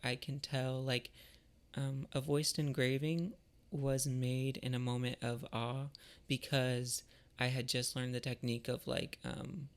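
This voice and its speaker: Guy w gravelly fry voice, kinda flat tone, thinking out loud casually, bit halting and reflective. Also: mid-range voice. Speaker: artist and musician vlogger.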